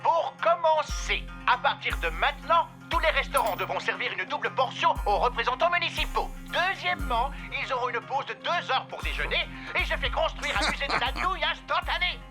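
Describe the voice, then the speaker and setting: A pompous greedy villain mayor. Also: male voice, very high-pitched, animated, a little fast, hardly any pauses, music in the background. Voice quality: pompous, showy. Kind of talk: French cartoon dub.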